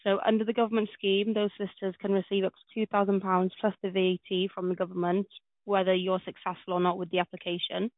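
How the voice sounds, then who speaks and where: Sex: woman. Origin: Wales. Native language: Welsh. Role: legal adviser on a phone call. Pace: very quick. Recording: dry, thin phone line. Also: medium pitch, level tone, dry recording. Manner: brisk, businesslike, starts sentences with "so". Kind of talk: explaining terms on a phone call.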